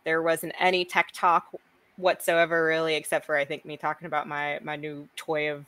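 A woman's voice: low-pitched, monotone, normal speed, a bit bright, dry recording. A woman talking as a podcast guest in easygoing casual chat, with lots of fillers. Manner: easygoing, laughs often, filler-heavy.

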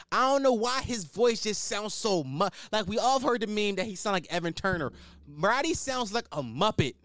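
A young man hosting a solo podcast, blunt and opinionated, swearing and riffing off the cuff, with a higher-pitched voice. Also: fairly bright tone, smooth, no room sound, studio quality. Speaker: podcast host.

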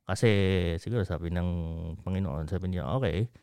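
A man's voice: deep voice, fast, dark tone, no room sound, clean studio sound. A man from the Philippines with a Filipino accent, guest in a casual interview, telling a story in Tagalog mixed with English and acting out quoted voices. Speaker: guest, media company founder.

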